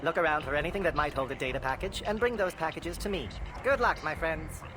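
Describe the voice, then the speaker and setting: A man playing a sly, smooth-talking information dealer in a video game, coy and insinuating in his salesmanship. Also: a little high, fast talker, background noise, close to an everyday voice. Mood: sly, persuasive. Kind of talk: video game dialogue acting.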